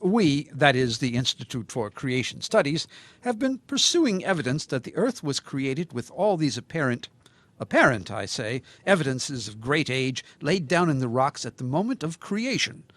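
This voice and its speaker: An actor playing a pompous crackpot creationist in an audio comedy, speaking in a pedantic, formal, grandiose manner as an interview guest. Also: male voice, medium pitch, very animated, moderate pace, fairly bright tone, no echo. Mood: earnest lecturing. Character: pompous male crackpot creationist with messianic self-belief. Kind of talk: audio comedy drama, mock radio interview.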